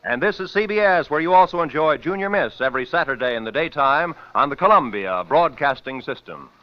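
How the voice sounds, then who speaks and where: Network announcer, male, 1940s radio: emphatic, grand, highly animated pitch, muffled vintage sound. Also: fairly quick, slight background noise. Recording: dull vintage radio recording.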